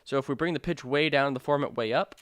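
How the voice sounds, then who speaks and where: A man narrating a software tutorial in an informal, explanatory way with hesitant phrasing. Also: medium pitch, fast, clear, resonant tone, dry recording. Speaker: sound design tutorial presenter.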